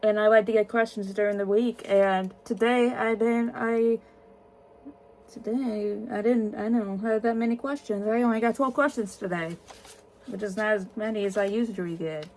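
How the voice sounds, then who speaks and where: A woman's voice: mid-range voice, steady tone, normal speed, a little mellow, poor audio. A woman in her twenties, tired, answering viewer questions in a casual vlog with many ums and restarts. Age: 20s.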